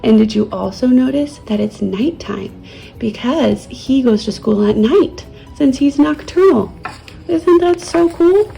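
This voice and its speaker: A woman reads a children's story gently, as a teacher would to her young pupils. Her voice is fairly high and mellow, with husky creak, over soft music. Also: expressive, measured pace, low-quality recording. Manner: gentle, child-directed, soothing. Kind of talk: children's storybook read-aloud.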